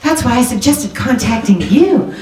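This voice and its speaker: Roomy, off-mic recording: actress as worried genteel young wife, high voice, polite and hesitant, old radio drama. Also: female voice, very animated, normal speed, a little mellow. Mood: anxious, polite. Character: anxious, genteel young married woman seeking a detective's help. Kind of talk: 1940s radio drama acting. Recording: roomy, distant microphone, music behind.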